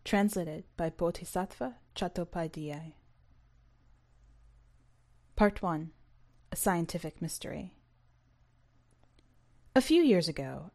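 A woman's voice: low-pitched, a little mellow. A woman hosting a fiction podcast, speaking in a scripted, read-aloud presenting and narrating style. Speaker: podcast host and story reader.

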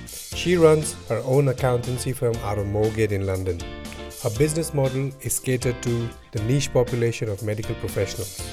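A man hosting a podcast, introducing and questioning a guest. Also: medium pitch. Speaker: podcast host, interviewer. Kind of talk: scripted introduction and interview questions. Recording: music playing behind the voice.

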